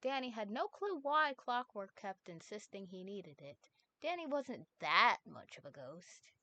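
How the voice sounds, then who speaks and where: A woman's voice: mid-range voice, big pitch swings, a little slow, bright, forceful tone. A woman reading a fanfic aloud on a stream and chatting easily with her co-reader.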